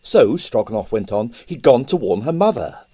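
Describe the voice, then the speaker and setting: A man's voice: medium pitch, very animated, normal speed, warm tone, breathy edge, no echo. A man reading a story aloud as dramatic scripted narration. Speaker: audiobook narrator.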